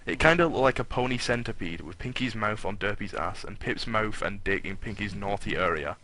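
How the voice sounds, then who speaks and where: A man with a fairly low, bright and forceful voice gives a dramatic fan fiction reading. He is irreverent and foul-mouthed, and he drops out of the narration into casual banter. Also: animated, brisk, rough recording.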